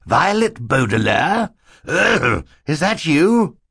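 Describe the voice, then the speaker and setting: A man reading an audiobook as a fussy, stern, easily flustered gentleman who admonishes pompously. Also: medium pitch, huge pitch swings, moderate pace, slightly rough, no room sound, clean studio sound, pitched above the performer's own voice, full character voice. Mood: surprised. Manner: admonishing, pompous, punctuated by coughing. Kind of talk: audiobook character acting.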